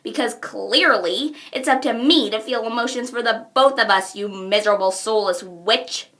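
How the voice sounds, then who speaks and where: A woman's voice: fairly high pitch, moderate pace, a bit bright. A woman voicing a brash, self-absorbed young troll villainess with theatrical delivery. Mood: infuriated. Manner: theatrical, exasperated. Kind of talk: fan character voice acting reel.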